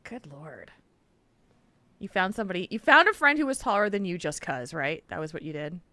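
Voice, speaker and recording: A woman's voice: fairly low pitch, expressive, a little fast, pushed and bright, no echo. A woman co-hosting a casual live-stream show, playful and laughing often. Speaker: podcast co-host.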